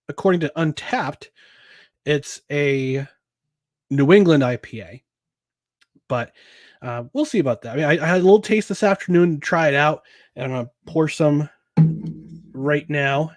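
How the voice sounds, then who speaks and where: A man's voice: a little high, measured pace, long pauses, dry recording, clean studio sound. A man hosting a casual solo podcast about sports and beer, rambling with chuckles, filler words and restarts. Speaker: podcast host.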